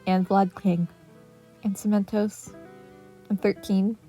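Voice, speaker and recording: Adult male teacher, female reader, dry and deadpan, very mellow, over background music. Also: medium pitch, slow. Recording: music playing under the voice.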